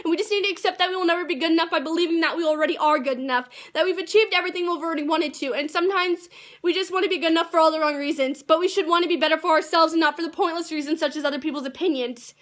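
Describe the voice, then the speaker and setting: Fast, high-voiced teenage girl reciting slam poetry. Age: teens. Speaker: amateur slam poet.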